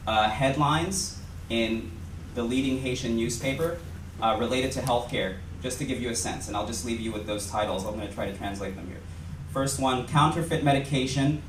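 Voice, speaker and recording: Man speaking from far off in an echoey room. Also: medium pitch. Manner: earnest, reads out a list. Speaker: panelist. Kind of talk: panel talk. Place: lecture hall. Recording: echoey room, mic far off, faint noise.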